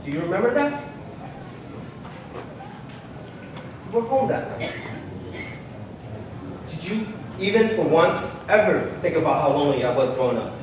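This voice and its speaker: Guy playing a hurt bitter son in a stage play, high-ish voice, really echoey. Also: lively intonation, moderate pace, long pauses, close to an everyday voice. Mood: hurt, resentful. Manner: accusing, aggrieved. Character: wounded, resentful grown son. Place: large echoey public hall with glass balcony. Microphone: handheld microphone, heard through room speakers. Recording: echoey hall, distant, faint noise.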